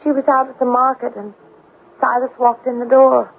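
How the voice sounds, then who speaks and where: High, creaky, lovelorn young woman. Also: flat delivery, moderate pace, full character voice. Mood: fragile, polite. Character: lovelorn, ailing, steadfast young small-town woman. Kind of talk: radio drama acting. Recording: muffled, lo-fi old radio broadcast.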